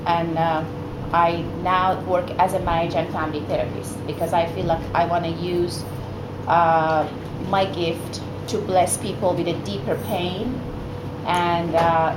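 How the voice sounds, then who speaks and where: A middle-aged woman from Iran, a therapist, giving an earnest personal talk to a group of mothers, with many fillers and restarts. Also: on the low side. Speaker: guest speaker, therapist. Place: meeting room, indoors. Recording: echoey room with background noise.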